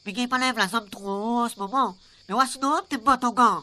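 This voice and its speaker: A woman's voice: a little high, a little fast, sharp tone. A woman playing a boastful but cowardly young street tough with a Réunion Creole accent in a comic radio serial. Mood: sheepish excuses. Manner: cocky, slangy, rambling.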